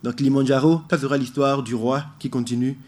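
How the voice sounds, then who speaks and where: An earnest man talking through his ideas as a guest on a radio show. He explains at length and leans hard on key words. His pitch sits in the ordinary middle range. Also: steady tone, a little fast, darkish tone. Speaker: musician guest. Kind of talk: radio interview. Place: radio studio.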